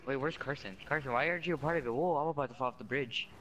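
A man's voice: mid-range voice, rapid-fire, fairly bright tone, slight background noise, rough recording. Sleep-deprived man babbling nonsense. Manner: babbling nonsense words and rhymes. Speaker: player in group game chat. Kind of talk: rambling casual gaming banter.

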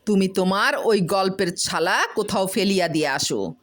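A woman voicing a fed-up village wife who scolds her husband in a sharp-tongued, insistent way, in a storybook reading. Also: medium pitch, normal speed, voice pushed up, natural voice. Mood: scolding, exasperated.

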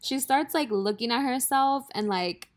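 A woman's voice: high voice, measured pace. A young woman narrating her workout video in a casual, self-deprecating, upbeat voice-over. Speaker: fitness vlogger. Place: voice-over, not recorded in the gym. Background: music.

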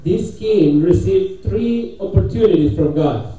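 Spanish-accented preacher, echoey and distant. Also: male voice, high voice, lively intonation, warm tone. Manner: halting, simple English, questioning the congregation. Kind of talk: interactive sermon with pauses for an interpreter. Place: church hall with congregation, handheld microphone through speakers. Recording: echoey room, distant camera pickup, congregation noise.

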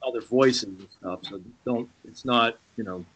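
A man's voice: mid-range voice, normal speed, background noise. A man speaking casually and informally as a screenwriter on a group video call. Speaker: screenwriter giving thanks.

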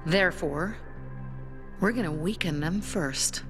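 A woman's voice: on the low side, measured pace, close to an everyday voice. Actress as a commanding rebel woman, music behind. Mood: resolute, commanding. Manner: firm, commanding. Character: determined young female rebel leader giving battle orders. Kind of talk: video game drama acting.

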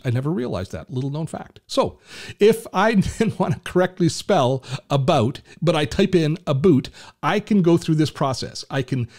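A Canadian man in his fifties hosting a screen-share software tutorial from his home office, chatty and enthusiastic with self-deprecating asides. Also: on the high side. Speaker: tech channel host. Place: home office with shelves and artwork. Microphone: large studio mic on a boom arm.

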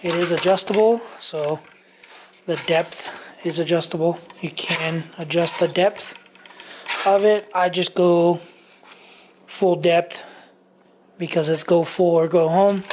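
Slow rambling man in a garage. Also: on the high side, a little mellow, a little hiss or hum. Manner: rambling, loose, many ums and restarts. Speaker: tool reviewer. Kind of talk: casual tool review vlog. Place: home garage workshop.

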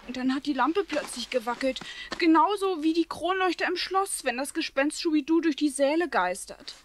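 Chatty little girl with big imagination, high voice, kids radio play, slight hiss. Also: animated, normal speed. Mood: spooked, insistent. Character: imaginative, chatty young schoolgirl. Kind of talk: children's radio play acting.

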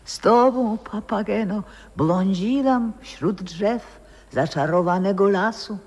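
Wistful old ex opera singer, slow and husky, old radio play with big reverb. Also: female voice, medium pitch, darkish tone. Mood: wistful reverie. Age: older. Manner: dramatic, lyrical, swinging into whispers. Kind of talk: theatrical 1960s radio drama acting.

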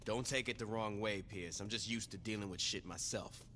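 An actor playing a cocky, laid-back gang leader in a video game, talking bluntly and dismissively in slang. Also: male voice, lowish voice, moderate pace, sharp tone, in a large hall, slight background noise. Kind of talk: video game cutscene acting.

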